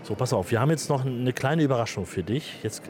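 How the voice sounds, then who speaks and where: Man, radio co-host, mid pitch, chatty, joking, lots of fillers, outdoors. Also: lively intonation, fast talker, crowd noise. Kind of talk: casual radio interview. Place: outdoors on a city square.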